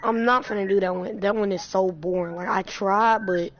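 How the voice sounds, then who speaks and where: A woman YouTuber playing a VR game, who trash-talks the characters with playful slang and bursts out loud. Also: mid-range voice, fairly even intonation, normal speed. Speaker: gaming YouTuber. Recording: dull lo-fi sound with loud hiss.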